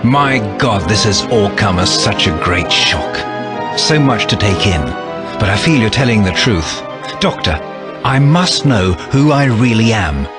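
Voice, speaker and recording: Young game hero, low voice, earnest, resolute, dramatic, music underneath. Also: male voice, animated, measured pace. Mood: shocked, earnest. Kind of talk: video game cutscene acting. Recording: music behind the voice.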